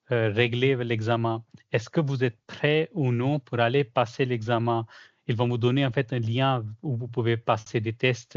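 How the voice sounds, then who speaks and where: A man talks through his experience in a relaxed, halting way, with lots of fillers and restarts. French is not his first language. His voice is dry, with no room echo, and comes through a muffled, lo-fi call. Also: medium pitch. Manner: hesitant, many fillers and restarts. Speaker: certified former trainee sharing his experience. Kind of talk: casual testimonial in a video call Q&A.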